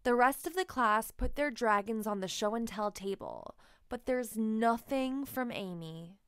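A woman reading a children's story aloud expressively, with deliberate, emphatic phrasing. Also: fairly high pitch, expressive, fairly bright tone, clear, resonant tone, no echo, clean studio sound. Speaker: children's book narrator.

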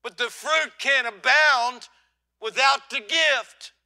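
An older man preaching a folksy sermon on a church stage, swinging from quiet asides to loud emphasis. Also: very high voice, slow, smooth. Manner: folksy, swings from soft to loud, asks "huh?".

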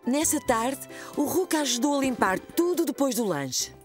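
A woman with a European Portuguese accent narrating a children's cartoon like a gentle storybook reader. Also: sits high, big pitch swings, moderate pace, faint music behind. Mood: storytelling.

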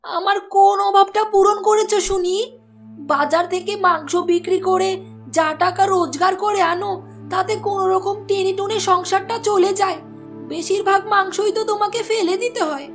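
Shrill, very high-pitched nagging cartoon wife voiced by a woman. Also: normal speed, faint music behind. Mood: bitter, nagging. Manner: scolding, sarcastic. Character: nagging, sharp-tongued wife of a village butcher. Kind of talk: Bengali cartoon voice acting.

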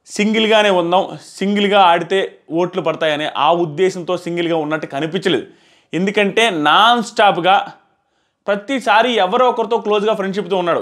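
A man's voice: high-pitched, a bit bright. A man in his thirties giving a casual, emphatic spoken review to camera, repeating phrases for stress, on a lapel mic indoors. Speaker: reality show reviewer. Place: small plain room indoors. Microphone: clip-on lapel mic.

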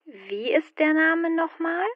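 A woman playing a polite phone operator who reads numbers out slowly and clearly in a scripted learner dialogue. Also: very high-pitched, animated, no echo, phone-quality sound, exaggerated voice. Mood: businesslike. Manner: slow, clear dictation of numbers. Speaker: directory assistance operator.

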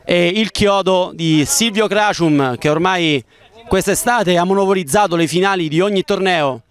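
A man giving live, excitable play-by-play football commentary, drawing out key words for emphasis. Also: sits high, normal speed.

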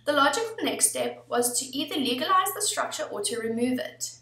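A woman in her thirties presenting a scripted legal explainer in a steady, formal reading manner, recorded at a desk webcam in an office. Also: sits high, fairly quick, distant mic. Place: office room indoors. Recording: echoey room, voice somewhat off-mic.

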